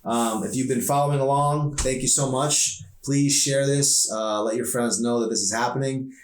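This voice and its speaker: A man reading his own novel aloud in an expressive storytelling style, recorded in an echoey room. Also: medium pitch, normal speed. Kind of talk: expressive audiobook-style narration. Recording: echoey room, distant from mic.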